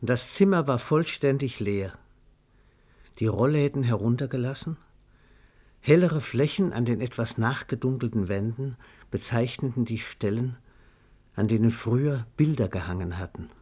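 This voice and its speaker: A man narrating a literary radio drama in a measured, matter-of-fact manner. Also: medium pitch, animated, warm tone, slightly rough.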